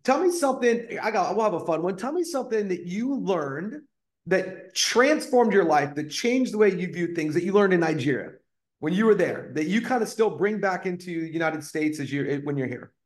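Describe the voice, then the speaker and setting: A man's voice: high voice, expressive, moderate pace. A man hosting a podcast interview, enthusiastic and full of praise for his guest. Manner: enthusiastic and effusive, repeats praise. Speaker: podcast host. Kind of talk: casual interview chat.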